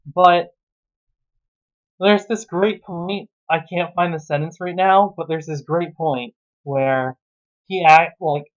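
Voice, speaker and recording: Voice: medium pitch, slow pace. A commentator ranting sarcastically and casually about a story, exasperated and swearing freely, on a dull lo-fi recording. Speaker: host reading and mocking an amateur story. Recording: dull, muffled lo-fi sound.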